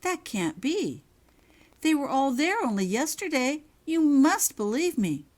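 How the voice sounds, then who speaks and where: Woman as an earnest young schoolgirl witch, lively pitch. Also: a little slow. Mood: distressed. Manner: polite, earnest.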